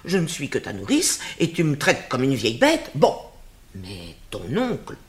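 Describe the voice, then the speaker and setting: A woman's voice: moderate pace, exaggerated voice. An actress voicing an old royal nurse who scolds and dotes on her charge, in a stage drama. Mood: indignant. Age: older. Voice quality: lively scolding voice, swinging high and low.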